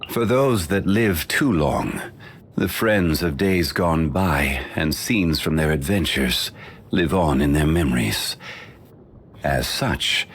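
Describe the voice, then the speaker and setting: An actor playing an ancient, composed male stone god in a game, speaking in a measured, formal, unhurried way. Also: low voice, a little hiss or hum. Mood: reflective. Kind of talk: game character voice lines.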